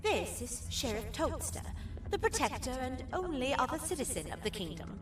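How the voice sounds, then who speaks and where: An actress playing a gracious, motherly creature queen in a video game, speaking in a formal, measured, courteous way. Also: high voice, sing-song. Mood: gracious, resolute. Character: regal, motherly kangaroo queen, gracious and sorrowful. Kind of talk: video game character acting. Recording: echoey, distant, loud music behind.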